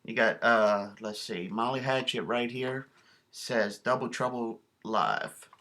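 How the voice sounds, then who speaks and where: Casual male vlog host, bright sharp tone, folksy and enthusiastic. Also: mid-range voice, slow. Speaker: video host showing a cassette collection. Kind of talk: casual show-and-tell vlog.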